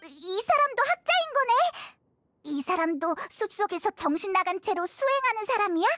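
A woman's voice: very high-pitched, big pitch swings, moderate pace, a bit bright, dry recording, clean studio sound, heavily acted. A woman voicing a small, naive, curious fairy companion in a Korean game dub, exclamatory and full of wide-eyed questions. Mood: puzzled, curious. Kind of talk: Korean-dubbed anime game dialogue.

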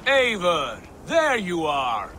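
A loyal, adventurous Viking warrior for a game. The voice is fairly high and very lively, hearty and earnest, with clear outdoor noise behind. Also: male voice, slow pace, full character voice. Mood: businesslike. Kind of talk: dramatic video game acting.

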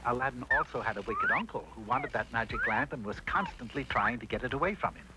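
A male narrator tells a mock fairy tale with animated, wry theatricality. His voice sits at a medium pitch, on a very noisy old 1960s cartoon soundtrack. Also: fast. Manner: theatrical, wry storyteller delivery.

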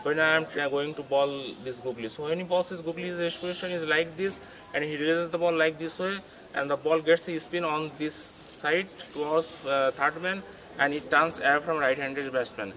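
A man's voice: on the high side, fairly even intonation, moderate pace, bright, forceful tone, poor audio. A young man hosting a cricket coaching video, explaining bowling grips outdoors to a camera in careful, non-native English with frequent restarts. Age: young adult. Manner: explains steadily, demonstrates grips with his hands as he talks. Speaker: cricket coaching channel host. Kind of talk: tutorial talking to camera. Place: outdoors by a concrete wall.

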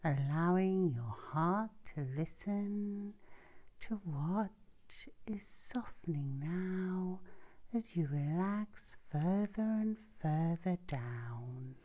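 A woman speaks extremely slowly in a mellow, fairly low voice. She is soft and breathy, often close to whispering, as she gently guides a sleep hypnosis session with soothing, drawn-out phrases. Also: lively intonation.

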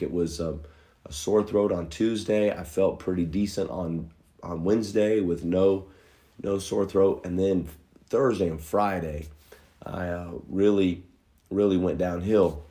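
A middle-aged man, a pastor, giving earnest, folksy church announcements to an online audience from his living room, his voice a little hoarse from a cold, with many filler pauses. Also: low voice, dark tone.

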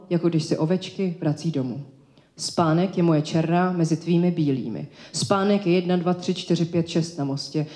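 A woman's voice: sits low, dark tone. Woman reciting slam poetry in echoey room. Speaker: slam poet. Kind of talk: spoken-word poetry recital, slam delivery. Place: indoor live event space.

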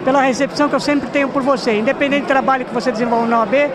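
A middle-aged man, hosting a TV interview at a gala and talking effusively into a handheld mic. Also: extremely high pitch. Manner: effusive, fast talking, flattering. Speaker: TV program host interviewer. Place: large indoor ballroom party. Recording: noisy crowded ballroom. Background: crowd chatter and band noise.

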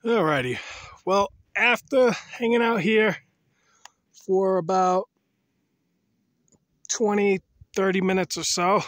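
A man's voice: high-pitched, no room sound, studio quality. A man narrating his hike to the camera in a chatty, casual way, a little shaky as he climbs, recorded outdoors on a rocky mountaintop with a handheld camera. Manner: chatty, unhurried, talks to the camera while climbing. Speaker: hiker filming his climb. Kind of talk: casual trail vlog narration. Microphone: handheld camera on a pole. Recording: loud wind noise on an outdoor camera mic.

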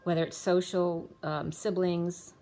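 A woman giving a casual tarot reading, rambling with restarts and going a little hoarse as she loses her voice. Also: fairly low pitch, little pitch movement, a little slow, warm tone. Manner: rambling, many restarts and fillers, reads off card names and times. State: losing her voice. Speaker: tarot reader. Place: indoors, room with a table of spread cards.

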